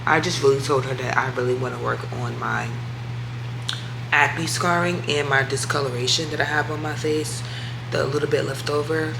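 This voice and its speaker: A woman's voice: sits low, steady tone, moderate pace, vocal fry, background noise. A woman vlogger chatting casually to her viewers, slangy and talkative, with a congested voice and a sore throat. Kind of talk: casual vlog chat to camera.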